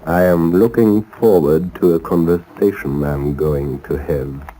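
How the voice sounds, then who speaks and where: An actor playing a suave, cold-blooded saboteur doctor in a 1930s radio drama, speaking formally and deliberately with polite menace. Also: male voice, low voice, fairly even intonation, warm tone, a little hiss or hum, low-quality recording. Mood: smug, curious. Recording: old 1930s radio recording.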